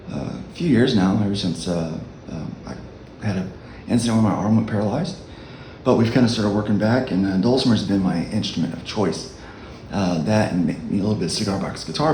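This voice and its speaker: A man with a low voice giving a relaxed, halting dulcimer lesson as a worship leader. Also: reverberant, a little hiss or hum, rough recording. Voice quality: low speaking voice. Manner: unhurried, halting explanation. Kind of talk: casual music tutorial.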